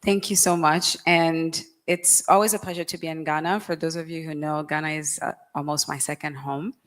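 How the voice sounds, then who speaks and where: Low-voiced woman speaking on stage. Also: steady tone, fairly bright tone. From Haiti. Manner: measured, explanatory, with frequent ums. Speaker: fintech founder and CEO. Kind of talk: panel conversation on stage. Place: conference stage. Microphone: handheld microphone.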